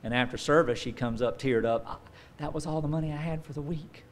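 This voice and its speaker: A man's voice: a little high, brisk, a little hiss or hum. A man in his forties, a pastor preaching a sermon from a lectern on a church stage, telling stories and acting out the voices of people he quotes. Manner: animated storytelling, acts out quoted voices. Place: church stage with dark curtain. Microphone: stand mic at lectern.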